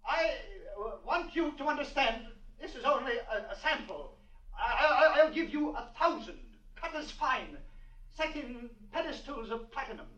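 An actor playing a rich, commanding patriarch in old American radio drama, halting and grandiose as he bargains desperately. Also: very high-pitched, animated, pushed and bright, distant mic, full character voice. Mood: desperate bargaining. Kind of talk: 1940s-50s American radio drama acting. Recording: muffled, echoey old radio, off-mic.

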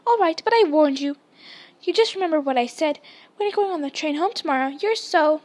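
A woman's voice: very high voice, big pitch swings, unhurried, pitched above the performer's own voice, full character voice. A woman voicing a bossy, indignant young schoolgirl in a storybook, snapping and scolding shrilly. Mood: angry scolding. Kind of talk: dramatised storybook reading.

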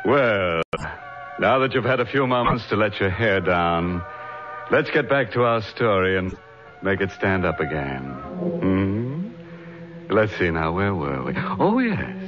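An actor playing a macabre, teasing horror host, narrating an old radio mystery in a droll, drawn-out way. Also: male voice, low-pitched, very animated, over music, exaggerated voice. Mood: gleefully ghoulish. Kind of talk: 1950s radio mystery narration, tongue-in-cheek. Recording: dull old radio broadcast.

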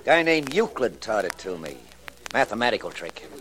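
A man's voice: on the low side, sing-song, moderate pace, crowd noise, rough recording. A man playing a sly, menacing small-time betting hustler with streetwise slang in an old-time radio crime drama. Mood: breezy.

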